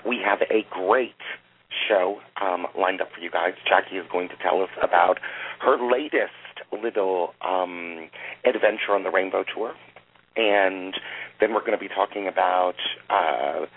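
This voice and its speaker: A man's voice: low-pitched, steady tone, moderate pace, breathy edge. A man in his forties with a Southern US accent, co-hosting a casual talk radio show in a wry, joking way. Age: 40s. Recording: phone-line sound with faint noise.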